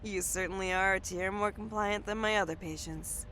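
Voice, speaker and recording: A woman playing a caring but firm doctor in an audio drama, speaking formally and gently. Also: mid-range voice, steady tone, moderate pace, slight background noise, natural voice, low-quality recording.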